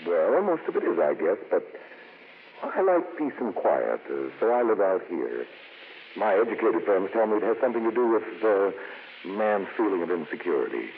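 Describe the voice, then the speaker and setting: Tinny old 50s radio w/ slight hiss, easygoing polite songwriter guy, lowish animated voice. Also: moderate pace. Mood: casual. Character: affable, casual male popular songwriter and country neighbour. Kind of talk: 1950s radio drama acting. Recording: tinny, slightly noisy 1950s radio broadcast.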